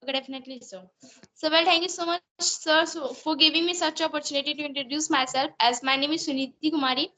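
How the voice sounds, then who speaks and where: A woman's voice: high-pitched, steady tone, fast, pushed and bright. A teenage girl from Jharkhand with an Indian English accent, speaking eagerly and politely as a student on a video call. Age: teens. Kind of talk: online conversation practice.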